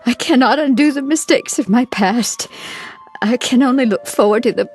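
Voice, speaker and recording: An actress playing a grieving, stunned daughter in an audio drama, emotional and halting. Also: female voice, a little high, a little mellow, lightly acted. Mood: mournful. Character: grieving grown daughter stunned by her mother's secret. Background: sentimental theme music.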